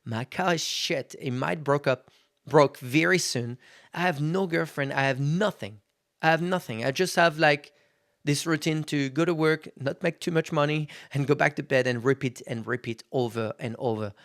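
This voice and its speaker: A man's voice: a little high, moderate pace, fairly bright tone. A man in his thirties hosting a podcast, talking casually and candidly, swearing freely and calling his listeners guys. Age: 30s. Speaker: podcast host. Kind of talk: casual motivational monologue.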